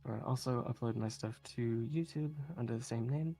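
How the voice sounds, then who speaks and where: A woman giving casual, halting live commentary as a speedrunner, in an informal way with frequent chuckles. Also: very deep voice, warm tone, soft background music.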